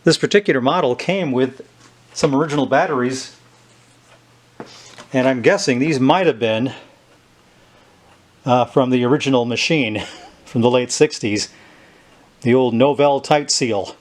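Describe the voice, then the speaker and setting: A man giving an unscripted, halting demonstration of equipment, thinking aloud as he handles it. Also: mid-range voice. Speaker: host demonstrating a vintage tape recorder. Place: indoors at a tabletop.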